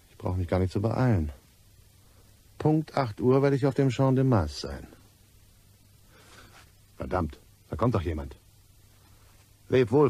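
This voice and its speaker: An actor playing a cold, cynical, calculating killer in a German crime radio play, with a husky voice and a sardonic manner. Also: male voice, fairly low pitch, warm tone. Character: cold, cynical male blackmail victim turned calculating killer.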